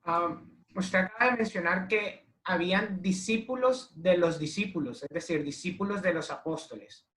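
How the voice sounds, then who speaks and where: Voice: medium pitch, normal speed, a bit bright. An interviewer asking polite, formal questions. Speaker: interviewer host. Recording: roomy echo.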